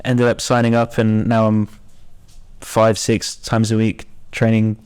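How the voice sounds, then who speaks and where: A man's voice: on the low side, monotone, measured pace, darkish tone, smooth. A man in his twenties chatting casually as a podcast co-host. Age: 20s. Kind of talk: casual chat.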